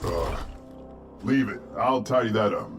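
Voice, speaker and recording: Man acting big gruff game soldier guy, blunt, unbothered, clear music behind him. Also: on the low side, measured pace, natural voice. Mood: easygoing, dry. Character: big, gruff, unflappable robotic resistance agent, blunt. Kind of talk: video game cutscene acting.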